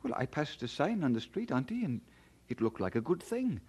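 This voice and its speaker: Actor as an expressive, earnest young Scotsman. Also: medium pitch, fairly quick, close to an everyday voice. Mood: casual, eager. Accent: Scottish. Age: young adult. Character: earnest, devout young Scottish immigrant man. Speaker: actor and narrator in an audio drama. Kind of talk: audio drama acting with first-person narration.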